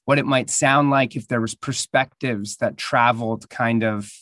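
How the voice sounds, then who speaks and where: A man with a medium-pitched voice chats as an interview guest, an actor and sound designer. The sound is close and dry. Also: steady tone, moderate pace, a bit bright, no room sound. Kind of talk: casual interview chat.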